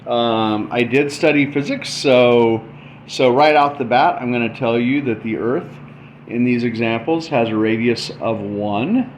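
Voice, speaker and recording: A man's voice: mid-range voice, a little slow. A man giving an informal tutorial walkthrough, thinking aloud as he explains a demonstration. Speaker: explainer presenting a math and radio demo. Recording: dull lo-fi mic with faint background noise.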